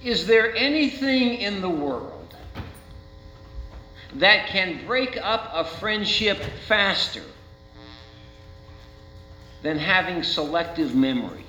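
An American man preaching a sermon as a pastor, speaking in rhetorical questions with deliberate dramatic emphasis. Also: high voice, very animated, sharp tone. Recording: roomy, muffled, with faint mains hum.

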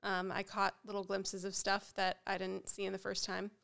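A woman co-hosting a casual podcast chat with a head cold, sounding nasal and froggy, chatty and quick to laugh, with many fillers. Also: mid-range voice, completely flat delivery, gravelly, a bit bright, clean tone. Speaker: podcast co-host.

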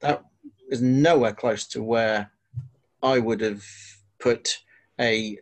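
A man's voice: mid-range voice, fairly even intonation, slow. A Welsh man whose first language is Welsh, chatting casually as a guest with understated humor. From Wales. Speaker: podcast guest. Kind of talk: casual chat.

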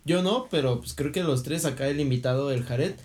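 A man's voice: medium pitch, fairly quick, darkish tone. A young man with a Mexican Spanish accent in a casual, rambling chat about gaming. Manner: rambling, chatty, drops into quoted voices.

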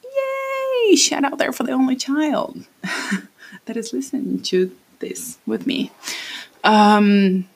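A woman's voice: sits high, lively intonation, slow, creaky, breathy edge. A woman in her late twenties from Brazil with a Brazilian Portuguese accent, hosting her own podcast in a rambling, self-conscious way. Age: late 20s. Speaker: podcast host. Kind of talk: casual solo podcast monologue. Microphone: standing at a home mic.

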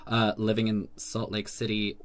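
A woman's voice: extremely low pitch, monotone, normal speed. A woman interviewer asking casual, chatty questions, hedging with "like" and laughing often.

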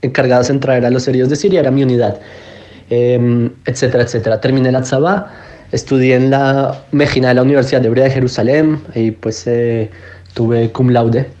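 A man's voice: medium pitch, flat delivery, moderate pace, mellow, dull audio. A man, giving a matter-of-fact spoken self-introduction as a podcast guest. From Colombia, Bogotá. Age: 20s. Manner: matter-of-fact, listing his life in order.

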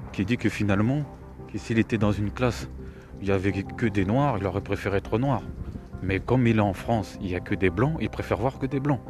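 Rambling middle-aged man with a cold. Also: lowish voice. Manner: rambling, repeats words, scornful. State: has a cold. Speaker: video commentator. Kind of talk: opinionated reaction commentary.

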